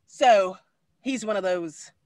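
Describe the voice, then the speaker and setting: A woman in her forties co-hosting a podcast over a video call, talking loudly and quickly in a high voice that carries. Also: crowd noise. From Texas. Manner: animated, loud and fast, with expressive hand gestures. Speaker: podcast co-host. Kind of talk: casual conversation. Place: small room indoors. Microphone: video call webcam.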